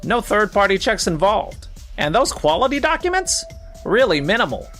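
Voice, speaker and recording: Stern high-pitched manager, acted by a man. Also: sing-song, moderate pace, fairly bright tone, soft background music. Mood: reproachful. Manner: stern, probing, reproachful. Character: stern, exacting corporate manager confronting a subordinate. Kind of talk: scripted manga dub voice acting.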